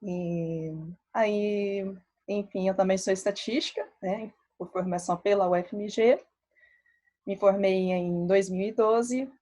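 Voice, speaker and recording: A woman in her thirties from Belo Horizonte with a mixed Minas and Rio accent, a statistician giving a relaxed, chatty talk with many fillers. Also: medium pitch, slow. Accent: Brazilian Portuguese, mixed Minas Gerais and Rio. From Belo Horizonte, Brazil. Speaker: statistician presenting to a meetup. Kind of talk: casual talk.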